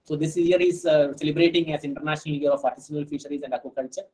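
A man in his thirties, a scientist giving an online lecture and answering questions, speaking haltingly with many fillers over a headset on a video call. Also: on the high side, fairly even intonation, darkish tone. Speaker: fisheries scientist giving a webinar talk.

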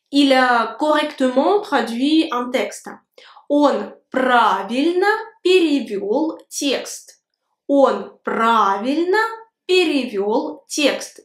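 Slow, high-voiced Russian teacher speaking French. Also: female voice, echoey room. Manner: clear, slow enunciation of words with gestures. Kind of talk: language lesson to camera. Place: indoor room with a plant. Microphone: camera at medium framing.

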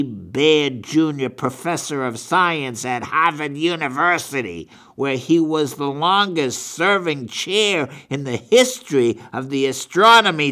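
An older man with a New England accent talks as the host of a rambling monologue. His delivery is halting and folksy, and he often circles back over his own words. He speaks indoors in a small meeting room at a desk microphone. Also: fairly high pitch, fairly bright tone. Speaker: show host. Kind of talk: rambling monologue book review.

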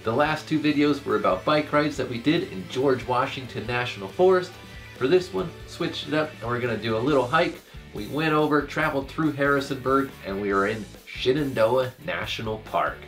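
A man's voice: medium pitch, normal speed. A man narrating and commenting on a hike in a casual travel vlog style. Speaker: hiking vlogger and narrator. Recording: loud music behind the voice. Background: music with plucked guitar.

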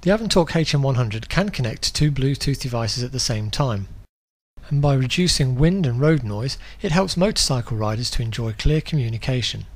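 A man reading a scripted instructional product guide in a clear, step-by-step way. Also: mid-range voice, animated, a little fast.

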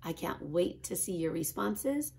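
A middle-aged woman, a kindergarten teacher, reading a picture book and chatting to children in an expressive style. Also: lowish voice, a little slow, gravelly, a little hiss or hum. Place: small classroom, indoors.

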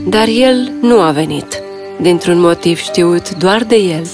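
A woman's voice: on the low side, animated, music in the background. A woman reading scripted fairy tale narration as a storyteller.

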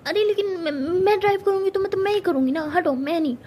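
A woman's voice: high-pitched, normal speed, dark tone, slight background noise, natural voice. A woman voicing a playful, stubborn young woman in love who whines and protests in a teasing way. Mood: stubborn, whiny. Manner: whiny, teasing, pouty protests.

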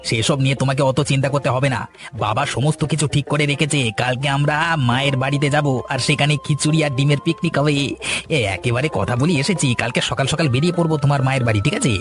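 A man acts an eager young son-in-law in a village cartoon. His voice is fairly high and races along talkatively. Faint music plays behind him. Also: quick pace, natural voice. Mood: eager, upbeat. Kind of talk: cartoon story dialogue.

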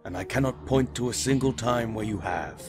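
A man playing Death, a calm, wise reaper who speaks in a measured, formal and reassuring way in a fantasy audio drama. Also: medium pitch, music in the background, natural voice.

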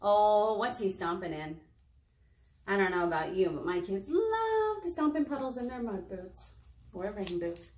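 An American woman in her thirties hosting a children's storytime, expressive and talking directly to small children in a room. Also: medium pitch, unhurried. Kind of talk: children's storytime read-aloud with singing. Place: small indoor meeting room. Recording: roomy indoor echo.

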